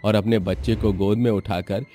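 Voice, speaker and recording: A man's voice: lowish voice, fairly even intonation, moderate pace, mellow, soft background music. A man narrating a scripted family story as a storyteller.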